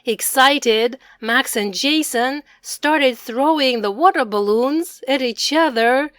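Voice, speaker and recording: A woman narrating a children's storybook expressively, addressing young listeners in a deliberate manner. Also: high-pitched, a bit bright, dry recording. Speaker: storybook reader.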